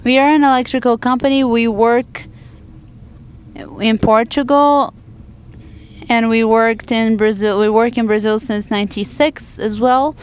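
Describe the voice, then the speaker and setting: Smooth-voiced woman interpreting a panel live. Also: fairly high pitch, fairly even intonation. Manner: halting, frequent restarts and self-corrections.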